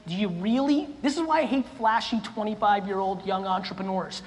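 A man born in the former Soviet Union, speaking bluntly and intensely with some swearing as a keynote speaker answering audience questions on a stage. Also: sits high. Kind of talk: live audience Q&A. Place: stage of a large hall before an audience. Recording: long hall reverb, faint noise.

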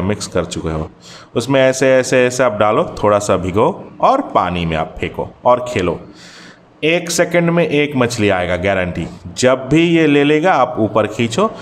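A man's voice: mid-range voice, a little hiss or hum. Chatty Bengali-native man explaining step by step. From Bangladesh. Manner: chatty, explains step by step and calls the viewer brother. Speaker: fishing channel host. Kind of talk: hands-on tutorial voice-over.

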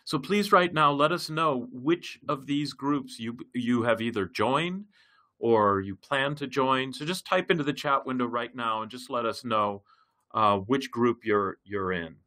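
A man hosting an online language-learning web meeting as facilitator, talking in a halting way with many fillers and restarts. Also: medium pitch, sharp tone. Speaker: project facilitator. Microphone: computer mic in a web meeting.

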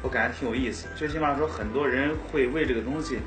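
A man's voice: mid-range voice, quick pace. A young man, a restaurant owner, talking casually and with some hesitation in a seated interview inside his restaurant. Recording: interview with music underneath.

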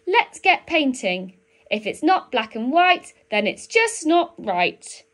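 Storybook badger played by a woman: male, self-important, gleefully pushy, high and very animated. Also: slow, heavily acted. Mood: eager. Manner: emphatic, chanting, sing-song commands.

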